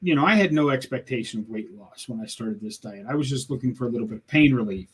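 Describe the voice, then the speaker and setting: Older man, sixty, husky voice, earnest plain-spoken guest on a video call. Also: mid-range voice, lively intonation, fast talker. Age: 60s. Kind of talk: casual interview chat.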